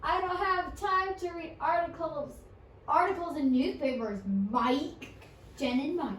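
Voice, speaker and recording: Child acting puppet parent, very high voice, exasperated, improvised show, distant noisy recording. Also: measured pace, a bit bright. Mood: exasperated, calling out. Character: puppet parents Jen and Mike, busy bickering household. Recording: roomy, distant home recording with noise.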